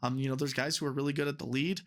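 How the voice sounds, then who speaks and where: A man's voice: medium pitch, steady tone, racing. A man in his twenties, a referee and teacher, talking casually as a guest in an interview and rambling with lots of fillers. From United States. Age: 20s. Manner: chatty, rambling, many "you know" fillers.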